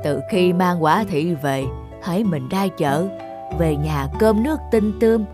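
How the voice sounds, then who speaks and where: Woman as homely old granny, lowered voice. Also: measured pace, music in the background, lightly acted. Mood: homely, musing. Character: kindly humble old village woman.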